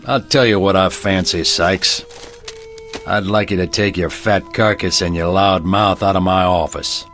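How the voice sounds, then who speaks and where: Deep-voiced, stern Western sheriff. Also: male voice, steady tone, unhurried, a bit bright, soft background music. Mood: exasperated. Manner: blunt, commanding, scornful. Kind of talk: old-time radio drama acting.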